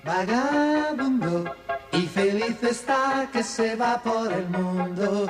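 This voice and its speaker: A woman's voice: fairly high pitch, lively intonation, slow pace. A woman singing a children's cartoon theme song over music. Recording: echoey, loud music. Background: loud music.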